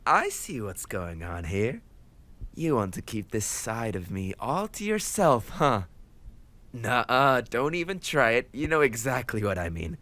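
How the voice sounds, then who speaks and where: A tough gang leader boyfriend played by a man talks in a fairly low voice to his sweetheart. He is playful and fond, teasing and mock-complaining with casual swearing. It is an intimate romantic audio roleplay, with his soft side showing.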